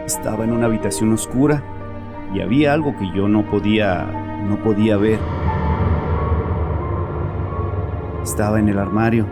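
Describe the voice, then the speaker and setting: An actor playing a troubled, chauvinist bereaved father confessing in an audio horror drama, with a haunted, halting manner. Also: on the low side, fairly even intonation, over loud music, lightly acted. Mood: fearful, confiding. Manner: haunted, halting, repeats himself.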